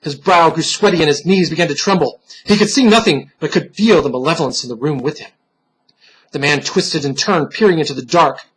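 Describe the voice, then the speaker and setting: Male storyteller for gothic horror, husky and higher-pitched, solemn theatrical delivery that sinks into hushed moments. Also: fairly quick, rough recording. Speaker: storyteller, reader.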